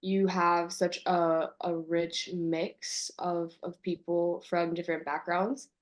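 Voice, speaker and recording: A woman's voice: fairly low pitch, level tone. Reflective young woman, lots of "like". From California, USA, and Colombia. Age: young adult. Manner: reflective, says "like" often, slows to stress words. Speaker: guest, university student abroad. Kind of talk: casual interview chat.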